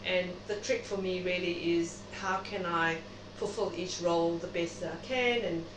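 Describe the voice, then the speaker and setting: South African woman, lowish voice, echoey recording. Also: steady tone, normal speed. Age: middle-aged. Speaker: interview guest, doctor. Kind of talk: reflective interview conversation. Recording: noisy, echoey room, distant mic.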